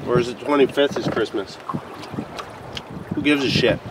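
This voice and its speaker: A man's voice: mid-range voice, normal speed. Joking young man, wind-blasted lake boat. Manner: deadpan, joking, offhand. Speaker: snowboarder. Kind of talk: casual rambling vlog to camera. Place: small open boat on a lake outdoors in winter. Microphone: wide-angle camera mounted on the boat. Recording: distant mic with loud wind and boat noise.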